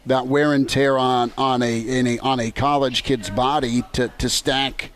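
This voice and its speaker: American male sports radio host, conversational delivery, medium pitch, on location. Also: steady tone, a little slow, fairly bright tone, a little hiss or hum. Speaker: sports talk show host. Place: on location at a golf tournament.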